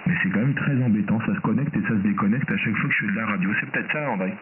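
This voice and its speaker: A man with an accent from France speaks in a fairly low voice, in the casual manner of a ham radio operator. He comes through a thin, distant radio link with plenty of static and clicking. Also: racing. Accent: French from France. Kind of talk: casual ham radio chat with spelled call signs. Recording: noisy, narrow-band, distant shortwave radio.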